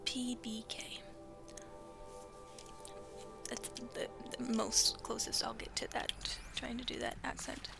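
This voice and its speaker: A woman's voice: fairly high pitch, little pitch movement, normal speed, hardly any pauses, over music. A woman whispering as she plays a game, reading in-game messages aloud with hesitant asides. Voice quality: whispery and creaky. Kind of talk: soft whispered game playthrough.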